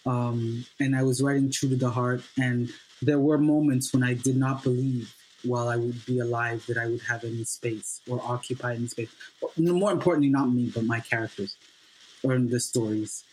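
A man whose first language is Swahili, a playwright guest on a podcast interview, earnest and halting with fillers, then building to emphatic repeated lines. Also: medium pitch, steady tone, a little mellow, a little hiss or hum, poor audio.

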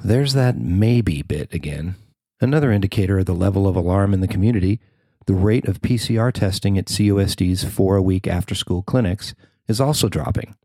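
A man's voice: fairly low pitch, warm tone, no room sound. An American man reading a scripted news story as a podcast host and reporter.

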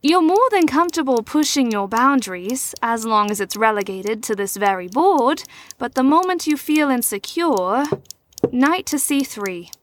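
A woman playing a poised, probing middle-aged mentor in an audio drama, speaking in a formal, theatrical, measured way. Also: on the high side, animated, natural voice. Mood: probing, lecturing. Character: poised, probing female mentor and chess player. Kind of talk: scripted audio drama acting.

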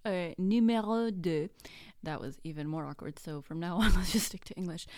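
A woman's voice: fairly low pitch, darkish tone, clear, resonant tone, no echo, clean studio sound. A woman in her thirties from Mexico whose first language is Spanish, hosting a casual solo podcast in a chatty, self-deprecating way with jokey asides. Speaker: podcast host.

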